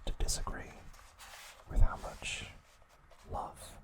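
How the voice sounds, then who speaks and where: A man hosting ASMR trivia, speaking softly in short, unhurried phrases with gentle pauses. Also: background noise. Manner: unhurried, soft, pausing between short phrases. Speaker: ASMR host. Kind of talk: whispered ASMR trivia narration.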